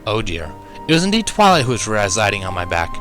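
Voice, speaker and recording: A man reading a first-person story aloud as an expressive narrator. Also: fairly low pitch, quick pace, music in the background. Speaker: fan fiction reader, narrator.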